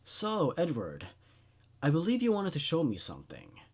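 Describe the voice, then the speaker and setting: A man's voice: fairly high pitch, huge pitch swings, moderate pace, breathy edge, voice pushed up, heavily acted. A man voicing a curious, probing male psychiatrist in a horror story, with an inquisitive manner.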